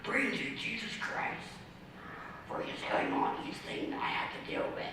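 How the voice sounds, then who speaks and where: A man in his thirties from Ohio with a raspy, mostly whispered voice from vocal cord damage, reading a personal speech slowly and methodically with restarts. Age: 30s. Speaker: award speaker, ordained minister. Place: community center hall. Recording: echoey hall from far off, faint noise.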